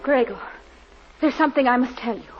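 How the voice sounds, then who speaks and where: A woman acting in an old radio drama as a wary, frightened wife, husky and breathy, with hesitant, intense pleading. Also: sits high, fairly quick, close to an everyday voice. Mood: fearful. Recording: dull old radio recording, music behind.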